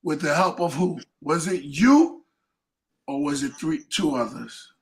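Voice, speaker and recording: A man's voice: on the high side, expressive, measured pace. A man in his fifties hosting a podcast, chatting casually with a laid-back manner, laughing often and repeating himself. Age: 50s. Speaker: podcast host. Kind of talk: casual chat.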